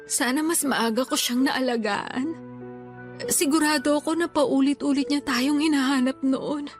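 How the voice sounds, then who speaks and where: A woman's voice: high voice, unhurried, faint music behind. A woman acting a weary, grieving and loving mother in a radio drama. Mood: weary grief.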